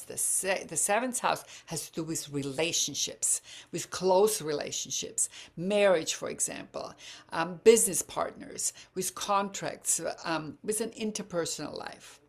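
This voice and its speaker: Woman, low voice, gravelly, hesitant, lots of fillers and restarts, informal talk. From Germany or Croatia, bilingual German-Croatian. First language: German. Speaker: astrology speaker.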